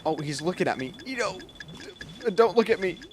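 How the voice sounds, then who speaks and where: A man's voice: sits high, expressive, normal speed, noisy background. A young man giving casual, reactive, joking commentary as he plays through a video game. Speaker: gaming video host. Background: game music.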